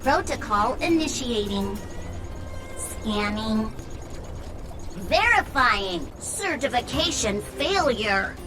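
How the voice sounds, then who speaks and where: Female robot sentry, highish, slow, clipped procedural phrasing, game character, music behind. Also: lively intonation, rough recording. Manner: formal, clipped machine announcements. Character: robot gate guard, female, flatly procedural and obedient. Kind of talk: video game voice acting.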